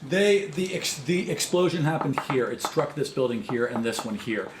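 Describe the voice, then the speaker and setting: A man's voice: medium pitch, expressive, moderate pace, recorded from a distance. A man acting as game master, narrating a tabletop game casually and encouragingly among friends. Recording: roomy, off-mic, faint noise.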